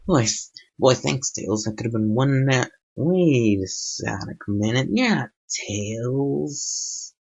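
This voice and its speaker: A man's voice: medium pitch, lively intonation, takes it slowly, gravelly, darkish tone, breathy edge, lo-fi sound, full character voice. A man voicing a cocky, breezy young hedgehog hero from a cartoon tale. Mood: surprised.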